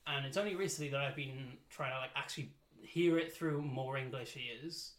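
A man's voice: mid-range voice, normal speed, fairly bright tone. A man hosting a casual comedy chat. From Wales. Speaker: podcast host.

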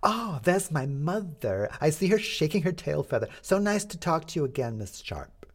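A woman's voice: low voice, sing-song, normal speed, husky, voice pushed up, full character voice. A man voicing a chattering, scatterbrained society lady who rambles breathlessly from one thought to the next. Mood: flustered chatter.

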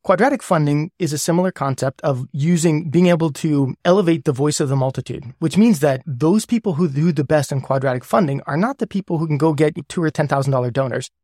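A man being interviewed as a guest who explains ideas step by step with worked examples. He often checks in with a quick "right?". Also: on the high side, dark tone, dry recording, clean studio sound. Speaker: guest, governance lead.

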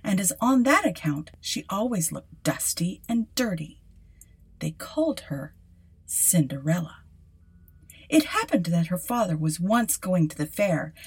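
A woman's voice: on the low side, very animated, a little slow, slightly rough. A woman podcast host reading a fairy tale aloud as dramatic scripted narration.

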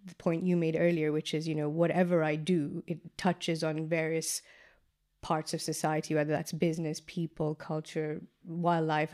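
A woman with a notably low, deep voice recounts her upbringing in a relaxed interview. She is chatty and animated, with frequent "like" fillers and quick asides. She comes from Kenya and speaks with easy, open warmth of memory. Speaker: guest entrepreneur. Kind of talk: casual interview storytelling.

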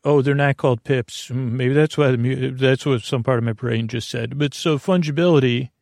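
A man talks through a wandering bedtime monologue, drifting from tangent to tangent and cutting himself off mid-thought. His voice has a gravelly, fry-tinged edge at a middling pitch. The sound is dry and close, with no room around it. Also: steady tone, darkish tone, dry recording. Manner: meandering, self-interrupting, tangents; low-key, unhurried delivery. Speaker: podcast host.

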